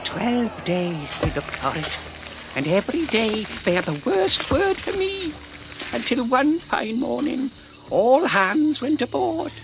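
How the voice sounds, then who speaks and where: A man's voice: extremely high pitch, very animated, slow, noisy background, heavily acted. A man playing a ragged, half-crazed old marooned sailor in an audio drama, rambling and wheedling in rustic pirate dialect. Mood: storytelling. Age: older.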